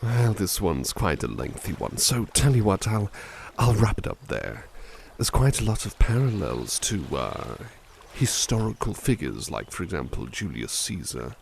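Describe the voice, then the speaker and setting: Man playing a big-hearted butler, low gravelly voice, slow and soft, close mic. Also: very animated, a little hiss or hum, heavily acted. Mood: musing. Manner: soft-spoken, gentle, reflective asides. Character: kind-hearted male butler, caring and gentle. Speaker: ASMR roleplay performer. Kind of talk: ASMR roleplay poetry reading.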